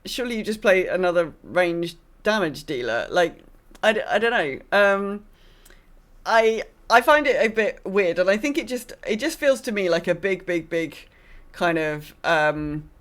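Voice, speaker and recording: A woman's voice: on the low side, lively intonation, unhurried, a bit bright. A woman co-hosting a podcast in a casual gaming discussion, opinionated and thinking aloud, often repeating words for emphasis. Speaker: podcast co-host.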